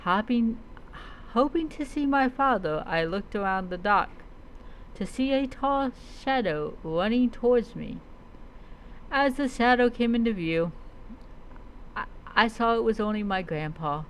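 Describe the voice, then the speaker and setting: A woman's voice: fairly high pitch, expressive, unhurried, slight background noise, poor audio. A woman reading a first-person adventure story aloud as a role-play storyteller, stumbling and repeating words as she goes.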